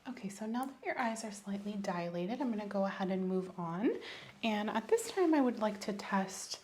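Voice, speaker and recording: A woman plays a calm, attentive doctor in an ASMR roleplay. Her voice is mellow and soft, often slipping into a whisper, and she gives gentle instructions and checks in soothingly. Some noise sits behind the voice. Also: medium pitch, normal speed, natural voice. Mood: calm, reassuring.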